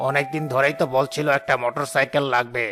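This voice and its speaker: A man's voice: mid-range voice, fairly even intonation, fast talker, close to an everyday voice. A man playing a domineering, calculating village headman and father in a cartoon. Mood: bossy. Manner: commanding, calculating. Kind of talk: cartoon voice acting. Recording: loud background music.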